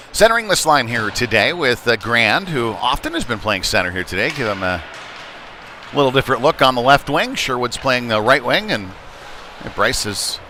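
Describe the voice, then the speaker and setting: A man giving live play-by-play commentary on ice hockey in a halting way, with frequent pauses. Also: mid-range voice, bright, forceful tone. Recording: noisy live rink broadcast.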